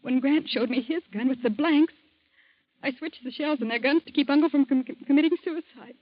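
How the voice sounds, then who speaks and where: Woman playing an anxious young niece, very high voice, rushed, dry muffled old radio. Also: no echo, lightly acted. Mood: explaining. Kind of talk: 1940s radio drama acting.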